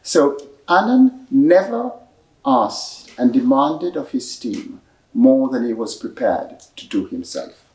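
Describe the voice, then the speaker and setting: A man giving measured, explanatory answers at a library book talk, pausing often with fillers. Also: medium pitch, lively intonation, darkish tone. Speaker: author and speaker at a book talk. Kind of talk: answering audience questions. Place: library event room. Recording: echoey room, voice from a distance.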